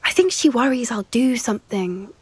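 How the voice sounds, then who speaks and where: A woman's voice: fairly high pitch, lively intonation, normal speed, slightly rough, no echo. A young woman with a British accent playing a caring, earnest teenage witch in a video game, speaking in a confiding way. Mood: earnest, concerned. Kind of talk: video game cutscene acting.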